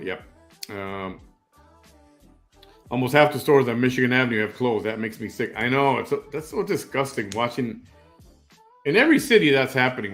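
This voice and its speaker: A man's voice: mid-range voice, a bit bright. Rambling opinionated man in his fifties. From Chicago. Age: 50s. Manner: rambling, opinionated, repeats himself, joking. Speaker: live-stream show host. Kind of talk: casual live-stream chat reading viewer comments.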